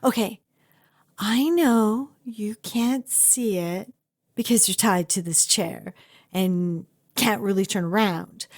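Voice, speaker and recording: A woman playing an obsessive, doting kidnapper in an ASMR roleplay, with a gushing, cutesy, halting and sweetly menacing delivery. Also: a little high. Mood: awkward, confessional. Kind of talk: ASMR roleplay acting addressed to the listener.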